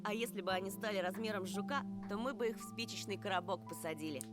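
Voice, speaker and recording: A woman's voice: mid-range voice, rapid-fire, natural voice. A woman playing a weary, protective young boy, an older brother, in a visual novel. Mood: reassuring. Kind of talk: Russian visual novel voice acting. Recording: music behind the voice.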